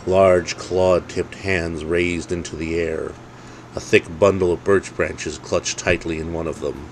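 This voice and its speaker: A man narrating a dark Christmas tale as its author, in measured, solemn, dramatic phrases. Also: deep voice, a little hiss or hum, rough recording. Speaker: author reading own story. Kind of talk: scripted dark Christmas storytelling.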